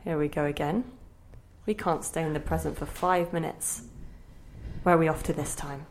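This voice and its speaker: Sardonic low inner voice. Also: female voice, fairly even intonation, normal speed, a little hiss or hum. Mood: wry, resigned. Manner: sardonic, conspiratorial, grumbling. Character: wry, meddling inner voice of confused old woman. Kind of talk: audio play acting.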